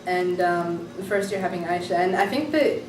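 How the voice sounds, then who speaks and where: A woman's voice: on the low side, moderate pace, noisy background. A young woman student talking casually and enthusiastically about her class, with many fillers. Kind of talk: interview, casual reflection. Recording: echoey room from far off, music behind.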